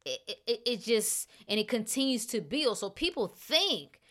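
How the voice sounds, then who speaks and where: A woman's voice: on the high side, big pitch swings, normal speed, a bit bright. Emphatic, chatty woman vlogger. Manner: emphatic, repeats phrases, chatty asides. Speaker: health and detox vlogger. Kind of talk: casual talk to camera.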